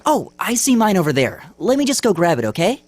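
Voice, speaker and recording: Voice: medium pitch, big pitch swings, a little fast, soft background music, natural voice. An actor playing a friendly, eager, boyish teenage student in a video game. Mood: friendly, curious. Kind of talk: English video game voice acting.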